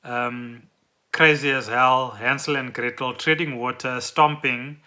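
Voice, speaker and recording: Man, South African accent, very sharp bright tone, medium pitch, casual podcast co-host, unscripted chat. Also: steady tone, unhurried.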